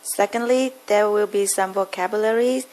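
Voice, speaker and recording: A woman's voice: medium pitch, fairly even intonation, moderate pace, a little mellow, rough recording. A young woman from Vietnam with a Vietnamese accent, giving a prepared classroom presentation in a formal, carefully enunciated manner. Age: young adult. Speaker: student presenter.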